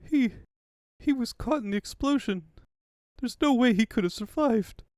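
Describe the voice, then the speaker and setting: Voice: medium pitch, animated, moderate pace, a little mellow, no room sound, clean studio sound, natural voice. A performer voicing a grave, dutiful young female leader with a solemn, emotional manner in a cartoon comic dub. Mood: grieving.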